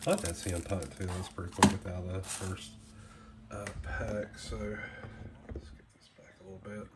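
A man's voice: deep voice, normal speed. A man with a head cold and a nasal voice, chatting casually as he opens packs of trading cards at a table, reading names off them. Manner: relaxed, rambling, reads names off cards. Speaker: card collector unboxing trading cards. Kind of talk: casual unboxing commentary. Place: indoors at a small table, close to the camera. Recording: loud crinkling wrapper noise.

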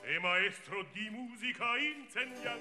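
A male opera baritone playing a quick-witted, cheeky young barber. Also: expressive, in a large hall, music in the background, exaggerated voice. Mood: scheming. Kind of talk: comic opera recitative.

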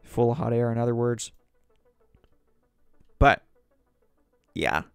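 Man, hoarse voice, rambling news commentary, dry close mic, faint background music. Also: mid-range voice, slow pace, dry recording. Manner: rambling, conversational, reads articles aloud. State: sore throat, losing voice. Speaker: podcast host. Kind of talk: solo political commentary.

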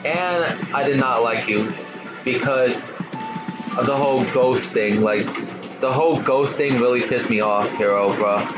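Stuttering man reading game text over loud music. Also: mid-range voice, unhurried, low-quality recording. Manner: reads text lines aloud, stumbling and restarting, slangy asides with "bro" and swearing; stutters on some words. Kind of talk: casual let's-play reading of game text.

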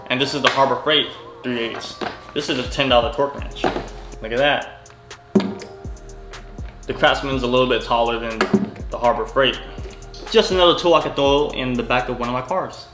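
A young man chats excitedly through a tool review, dropping slang and laughing as he goes. His voice sits at an ordinary pitch and rings around a bare garage. Music plays behind him. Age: young adult. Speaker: car and tool vlogger. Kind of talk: casual product review. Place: home garage with cars. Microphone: camera on desk, seated facing it. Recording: echoey garage with background music.

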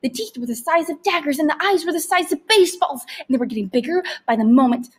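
A woman's voice: high voice, expressive, quick pace. A woman reading a story aloud expressively, like a teacher narrating to students.

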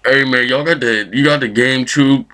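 A man's voice: medium pitch, fairly even intonation, normal speed, bright, forceful tone, lightly acted. A man voicing a brash young male thug for a prank call, cocky and slangy, with swearing. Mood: cocky, pushy.

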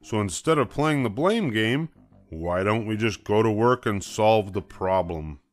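A man doing a calm, practical papa bear. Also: fairly low pitch, measured pace. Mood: calm firm. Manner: level-headed, instructing. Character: father bear, calm, practical, takes charge. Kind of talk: storybook reading.

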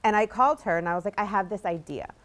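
A woman speaking on a panel about an anthology she edited, telling a story in a lively, enthusiastic way, in a museum meeting room. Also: medium pitch, fast. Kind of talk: casual panel talk.

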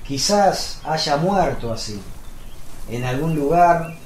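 A man acting as an earnest, humble disciple in a devotional radio drama, telling his account deliberately. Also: fairly high pitch, expressive, darkish tone. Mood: grave, distressed. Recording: echoey room, background noise.

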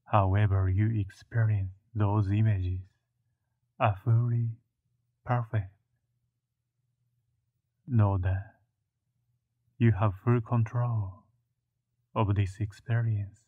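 Male meditation guide: very slow, even-toned, soothing delivery in short phrases with long pauses, through a thin phone-like recording. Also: lowish voice, no room sound. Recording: muffled, narrow phone-like sound.

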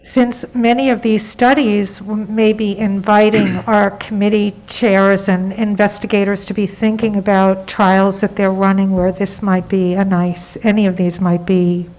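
A woman patient advocate asking measured, inquisitive questions in a meeting. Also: mid-range voice, flat delivery, a little mellow, poor audio.

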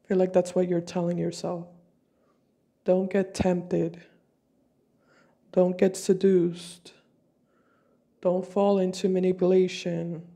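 A woman with a low, mellow voice lays out tarot cards and talks her viewers through them. She is fighting a cold, and she speaks in slow, deliberate, intuitive phrases from a table at home. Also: level tone, vocal fry. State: has a cold. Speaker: tarot reader.

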